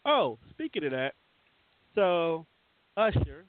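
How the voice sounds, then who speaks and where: A man's voice: fairly high pitch, big pitch swings, slow pace, a little hiss or hum. A man hosting a radio show with casual, rambling, colloquial celebrity-news banter and some swearing. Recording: thin phone-like sound, roomy echo.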